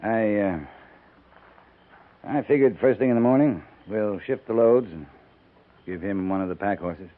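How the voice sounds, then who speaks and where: An American man playing a seasoned cavalry captain in a 1950s radio western, speaking in terse, measured orders. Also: on the low side, lightly acted. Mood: quiet, weary. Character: seasoned, steady male cavalry captain on the frontier. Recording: tinny, noisy 1950s radio recording.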